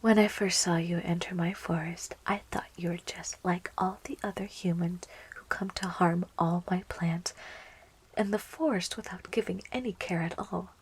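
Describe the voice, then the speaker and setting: A woman voicing a sweet, clingy yandere plant girl in a soft, coaxing whisper for an ASMR roleplay. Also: lowish voice, fairly even intonation, a little hiss or hum, close to an everyday voice, low-quality recording. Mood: lovestruck. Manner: coaxing, cooing, giggly, slow.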